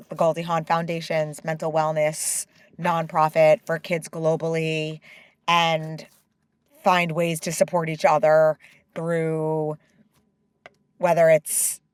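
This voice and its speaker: A woman's voice: deep voice, completely flat delivery, smooth, no room sound. A middle-aged woman, a company co-founder, speaking as an interview guest. She talks openly and with feeling, and she often stops and restarts her sentences. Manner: open and emotional, with halting restarts. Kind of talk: candid interview talk.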